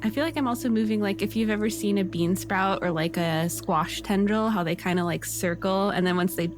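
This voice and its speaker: A woman's voice: medium pitch, brisk, music in the background. A woman playing a tabletop game, describing her character's moves in informal, exploratory phrases. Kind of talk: casual improvised description.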